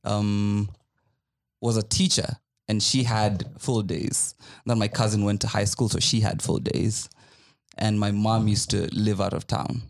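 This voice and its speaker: A man's voice: on the low side, level tone, measured pace, vocal fry. A young man with a Kenyan accent chatting casually as a podcast guest, with a dry, joking manner. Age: young adult. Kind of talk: casual chat.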